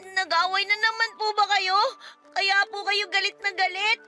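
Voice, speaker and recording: A woman's voice: extremely high pitch, steady tone, moderate pace, pushed and bright, soft background music, full character voice. A woman playing a young, hurt child who pleads politely with a parent in a Filipino radio drama. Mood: hurt, timid.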